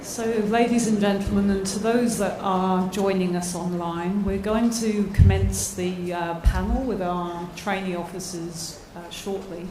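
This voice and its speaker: Australian woman host, formal and measured; far from mic, echoey room, noisy. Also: medium pitch, fairly even intonation. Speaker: event host. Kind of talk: formal announcement. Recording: echoey hall, distant mic.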